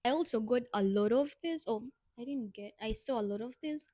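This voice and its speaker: A woman's voice: fairly high pitch, a little fast, mellow, no echo, studio quality. A young woman co-hosting a casual podcast chat, reading prompts aloud and laughing often. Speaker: podcast co-host.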